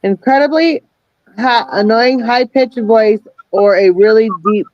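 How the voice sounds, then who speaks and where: A woman's voice: fairly high pitch, a little slow, no echo. A woman chatting casually on a livestream, playfully reading out would-you-rather questions. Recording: dull lo-fi call audio.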